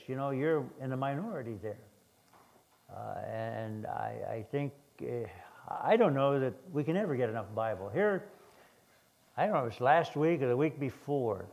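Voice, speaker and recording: An older man with a hoarse voice, preaching a sermon in a halting way with many restarts and fillers. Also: mid-range voice, a little mellow. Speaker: guest preacher.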